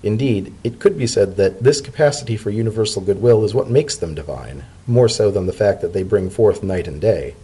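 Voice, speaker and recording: An earnest pony statesman voiced by a man speaks in formal, measured phrases. His low, husky voice rises and falls expressively, thoughtful and courteous, as in a dramatic storybook reading. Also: animated, warm tone, low-quality recording. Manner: formal, measured, sincere speech. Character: earnest, polite male pony civil servant, thoughtful diplomat.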